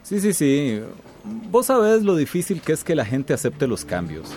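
Bullying boss, radio drama, blustering, two-faced, very animated pitch, music underneath. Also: male voice, measured pace, heavily acted. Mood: falsely jovial. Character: bullying male company boss, falsely jovial, scornful.

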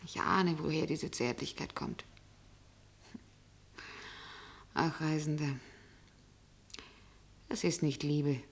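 Wistful, low-voiced waitress. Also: female voice, slow pace, exaggerated voice. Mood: pained, resigned. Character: ageing, melancholy waitress, sceptical and long-waiting. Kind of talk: poetic radio play acting.

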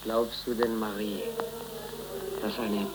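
A man's voice: on the low side, level tone, slow, loud music behind the voice, low-quality recording. An actor playing a weary, wistful young man in a 1930s German radio play, speaking dreamily. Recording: old 1930s radio recording.

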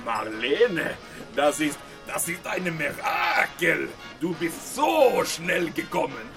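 An actor playing a flustered, excitable army major in a comic radio drama. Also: male voice, a little high, music in the background. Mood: stunned, smitten. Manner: barking, excitable, mixes in German.

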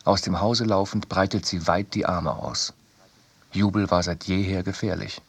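A man's voice: deep voice, fairly even intonation, normal speed, creaky, slightly rough, no room sound. A man reading scripted literary narration for a radio production. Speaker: second reader of a literary essay.

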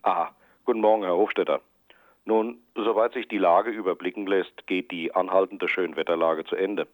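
A matter-of-fact male forecaster in a radio play. His low voice is measured and formal, coming dry down a narrow phone line. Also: steady tone, dry recording. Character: calm, matter-of-fact weather office forecaster. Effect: telephone filter. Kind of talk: radio drama acting.

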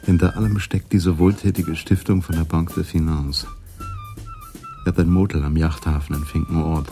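A man's voice: deep voice, fairly even intonation, moderate pace, warm tone, soft background music. A male actor playing a tough young street cop in a radio crime play, clipped, slangy and secretive. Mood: eager, secretive. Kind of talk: German radio crime drama acting.

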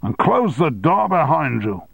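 A man's voice: lowish voice, sing-song, normal speed, slightly rough, no echo, exaggerated voice. A man voicing a blustering, domineering male media tycoon who bellows his orders. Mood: furious. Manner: bellowing, brusque.

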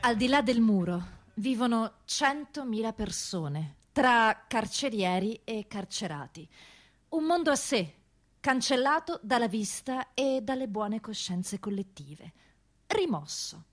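A woman narrator reading a scripted theatrical documentary text with solemn, deliberate phrasing. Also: fairly high pitch, very animated.

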